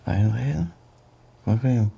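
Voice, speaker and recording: A middle-aged or older senior doctor and father in a radio drama. His voice is husky and mid-pitched. He speaks in clipped, defensive phrases, weighed down by guilt. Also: animated, slow, warm tone, exaggerated voice. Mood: subdued, troubled. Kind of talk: German radio crime drama acting.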